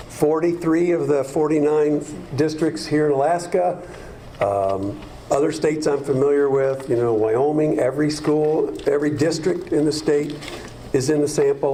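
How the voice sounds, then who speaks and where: A man's voice: medium pitch, dark tone, slight background noise, rough recording. A man presenting district data to a school board, explaining at length with frequent fillers and restarts.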